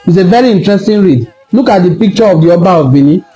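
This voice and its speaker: A man's voice: high voice, animated, quick pace, warm tone, faint music behind, rough recording. A man with a Nigerian accent giving an impassioned, argumentative online talk full of rhetorical questions. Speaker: cultural commentator.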